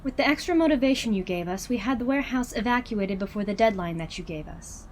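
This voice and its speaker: A woman playing a composed department head in a crime audio roleplay, giving measured, businesslike reports. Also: fairly high pitch, animated, slight background noise, lightly acted. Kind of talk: scripted audio roleplay acting.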